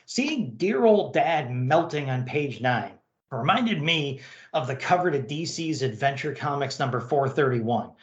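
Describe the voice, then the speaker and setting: Male host, wry and emphatic reviewer, mid-range animated voice, echoey room sound. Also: medium pitch, highs cut off. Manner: sarcastic, emphatic. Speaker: podcast host. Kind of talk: wry comic book review.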